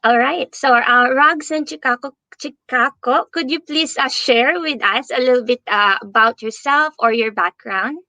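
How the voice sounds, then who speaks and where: A woman's voice: high-pitched, normal speed, hardly any pauses, pushed and bright, no room sound. A woman hosting a livestream interview, upbeat and effusive, with many fillers.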